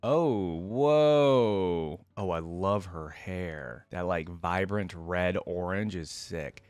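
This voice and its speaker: Low-voiced man giving casual reaction commentary. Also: extremely slow, darkish tone, clean tone, no room sound, faint music behind. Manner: informal, slangy, enthusiastic approval. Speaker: reaction video host.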